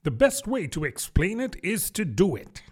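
A man's voice: high voice, very animated, moderate pace, slightly rough, pitched above the performer's own voice, full character voice. A man voicing a solemn, wordy, pompous and easily offended dodo in a children's storybook.

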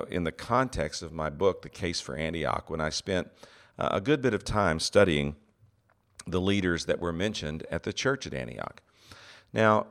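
A middle-aged man hosting a solo teaching podcast, his voice very deep and scratchy from a cold, speaking earnestly with many "uh" pauses. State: has a cold, congested. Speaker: podcast host, seminary president.

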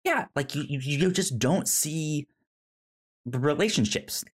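A man speaks as a podcast co-host in loose, conversational film talk. His pitch moves in an animated way across a wide span. He often catches on the first sounds of words and repeats them before carrying on. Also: slightly rough. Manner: halting, with repeated stuttered word starts. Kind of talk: casual film discussion chat.

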